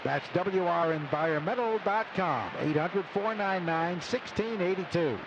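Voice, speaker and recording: High-pitched male sponsor announcer, dull noisy sound. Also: unhurried. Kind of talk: scripted radio sponsor read. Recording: dull lo-fi sound, clear background noise.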